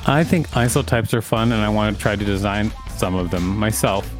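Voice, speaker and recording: A man's voice: lowish voice, fairly quick, soft background music. A man co-hosting a podcast, reading an encyclopedia article aloud with casual asides. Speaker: podcast co-host and reader.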